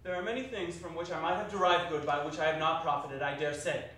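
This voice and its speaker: A cheerful, warm-hearted nephew, played by a man, speaking high and hearty. His delivery is earnest and theatrical, for a live stage reading. He is heard at a distance in a strongly echoing hall. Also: fairly even intonation, fast talker. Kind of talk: dramatic stage reading of a classic story. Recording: distant, very echoey, noisy hall recording.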